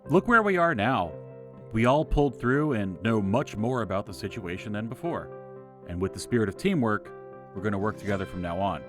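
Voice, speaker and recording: Folksy male group leader played by an actor, polished reassurance, lowish voice, audio drama with clear music bed. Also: expressive, a little fast, natural voice. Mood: cheerful. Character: upbeat, folksy man leading a community group.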